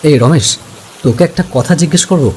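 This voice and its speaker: An earnest young man played by a male actor with a slightly high voice, telling a ghost story in the first person. He sounds curious, with faint rain noise behind him. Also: brisk, darkish tone, close to an everyday voice. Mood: hesitant. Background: rain ambience.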